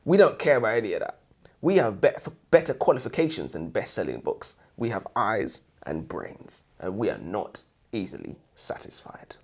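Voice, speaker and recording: A husky-voiced man co-hosts a casual book review. His intonation jumps around dramatically, and he delivers his opinions with slangy banter. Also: fairly low pitch, very animated, moderate pace. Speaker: co-host of a book review show.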